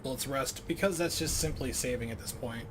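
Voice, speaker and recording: Man streaming, reading tutorials aloud haltingly. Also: mid-range voice, over music. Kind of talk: thinking aloud while reading game tutorials.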